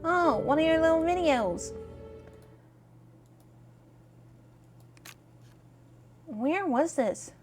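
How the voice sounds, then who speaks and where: A woman's voice: extremely high pitch, lively intonation, measured pace, soft background music, pitched above the performer's own voice. A woman voicing a kindly, gossipy older spiritualist lady in a video game, with a genteel, folksy manner full of endearments. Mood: polite, coaxing.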